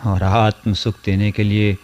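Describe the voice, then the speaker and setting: A man's voice: fairly low pitch, flat delivery, unhurried, darkish tone, lo-fi sound, close to an everyday voice. An actor in a radio play playing a lonely, brooding man who muses theatrically and hesitates, repeating phrases. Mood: brooding, regretful. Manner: theatrical musing, hesitant repetitions. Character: lonely brooding man, wistful, curious, easily smitten. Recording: music under the voice.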